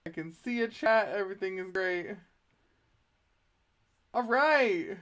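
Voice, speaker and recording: A woman's voice: medium pitch, expressive, slow, fairly bright tone. A woman streaming live from her art studio, laughing often and fumbling with the setup as she chats. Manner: self-deprecating, giggly, fumbling with the tech. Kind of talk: casual live stream chat. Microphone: laptop, DSLR and chest-mounted webcam.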